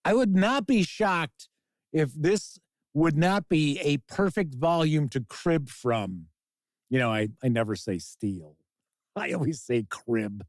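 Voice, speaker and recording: A man's voice: on the high side, no room sound, clean studio sound. A man with a Chicago accent hosting a casual livestream of tabletop gaming news, chatty and jokey as he talks with his chat. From Chicago, USA. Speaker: host.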